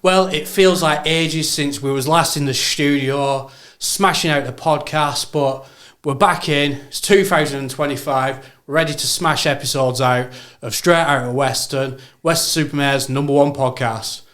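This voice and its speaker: A man's voice: on the high side, fairly even intonation, moderate pace, fairly bright tone. A man hosting a podcast, chatting casually and upbeat, laughing often. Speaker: podcast host. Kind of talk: casual interview chat.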